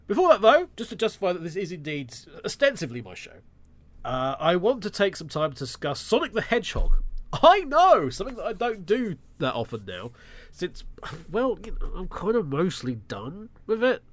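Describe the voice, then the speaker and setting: A man hosts his podcast alone, his voice nasal and bunged up from a lingering cold. He talks with sarcastic asides and a lively, swooping delivery. His pitch sits a little on the high side. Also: normal speed. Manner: sarcastic, animated, wry asides. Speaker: podcast host. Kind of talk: solo podcast commentary.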